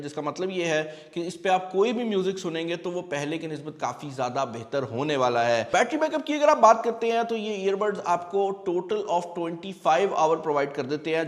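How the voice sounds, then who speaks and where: A man with a fairly high-pitched voice reviews a product in a reverberant space. He talks casually and directly to viewers like buddies, explaining each point and slipping English phrases into his talk. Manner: conversational, explanatory, addresses viewers as buddy. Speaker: tech reviewer and host.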